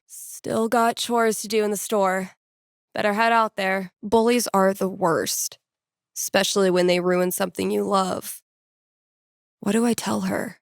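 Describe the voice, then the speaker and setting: An actress playing a sardonic, geeky young woman who works as a record store clerk and radio host, speaking in a casual, deadpan video game monologue. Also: mid-range voice, creaky, dry recording, clean studio sound. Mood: musing, then thoughtful. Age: young adult. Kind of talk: naturalistic video game voice acting, muttered inner monologue.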